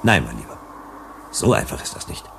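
A man's voice: extremely low pitch, big pitch swings, brisk, creaky, a bit bright, a little hiss or hum, heavily acted. An actor playing a principled, cautious male psychologist in a sci-fi radio play, emphatic and lecturing. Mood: sardonic lecturing. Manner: emphatic, didactic.

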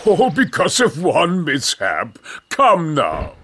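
An actor playing a scheming, short-tempered middle-aged jungle hunter in a video game, pompous and condescending, with a raspy voice. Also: male voice, fairly high pitch, huge pitch swings, takes it slowly, sharp tone, heavily acted. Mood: smooth excuses. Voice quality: gravelly, raspy. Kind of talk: video game cutscene acting.

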